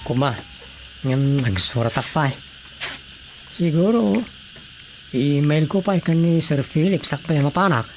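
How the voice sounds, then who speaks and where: An actor with an Ilocano Filipino accent playing a married writer who muses aloud to himself in a radio drama. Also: male voice, fairly high pitch, animated, measured pace, pauses often, darkish tone, soft background music, low-quality recording. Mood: casual. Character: married man, a writer musing on world troubles.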